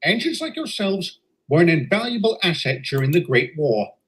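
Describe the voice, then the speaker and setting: A portly old railway director, played by a man, courteous and jovial, his voice swinging up and down with lively warmth. He speaks with formal, old-fashioned politeness in a homemade audio drama. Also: a little high, normal speed. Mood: genial. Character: stout, genial older male railway director. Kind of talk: fan audio drama voice acting.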